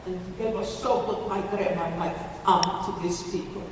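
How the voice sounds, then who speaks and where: A woman, giving halting, emotional testimony as a survivor speaking to an audience. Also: fairly low pitch, fairly even intonation. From Ukraine. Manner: halting, emotional, restarts phrases. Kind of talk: personal testimony talk to an audience. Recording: echoey hall from far off with faint noise.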